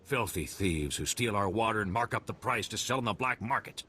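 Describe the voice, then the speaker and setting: An actor playing an earnest, worried desert moisture farmer in a video game. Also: male voice, lowish voice, animated, brisk, pushed and bright, a little hiss or hum. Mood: earnest pleading. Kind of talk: video game dialogue acting.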